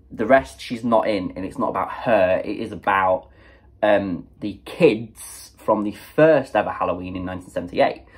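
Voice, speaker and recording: A man's voice: medium pitch, breathy edge. Sniffly young man chatting about a film. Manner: chatty, animated, many fillers and quoted reactions. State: sniffly with a cold. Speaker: movie reviewer. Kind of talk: casual spoken film review.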